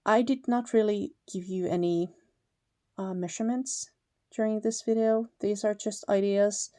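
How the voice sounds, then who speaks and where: A Finnish-accented woman talks through her card-making in an unhurried, easygoing way. Her voice is low and mellow. The sound is dry and close to the mic. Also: dry recording. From Finland. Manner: relaxed, chatty, laughs lightly, self-correcting. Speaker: paper crafter, channel host. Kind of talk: casual crafting tutorial voice-over.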